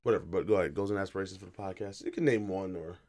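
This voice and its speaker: A man hosts a casual chat podcast with a tired, slightly raspy voice. He sits low in his range and talks in a loose, joking manner full of slang. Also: very fast, a little mellow. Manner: laid-back, joking slang. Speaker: podcast co-host.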